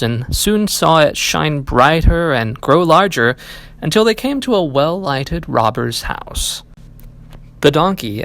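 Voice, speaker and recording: A man reading a fairy tale aloud as an animated audiobook narration. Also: mid-range voice, vocal fry. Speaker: library staff reading aloud.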